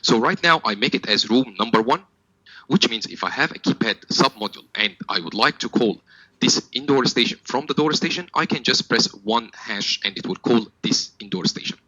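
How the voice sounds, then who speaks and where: A man's voice: mid-range voice, lively intonation, moderate pace, pushed and bright, no echo, lo-fi sound. A man working as a technical trainer, giving a step-by-step product tutorial in a methodical, instructional manner.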